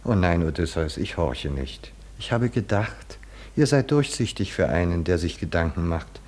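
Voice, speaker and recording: An actor playing a short-sighted, melancholy, quietly insistent observer in a 1950s German radio drama, speaking softly and deliberately. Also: male voice, sits low, lively intonation. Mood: insistent, intimate. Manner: soft, deliberate, knowing.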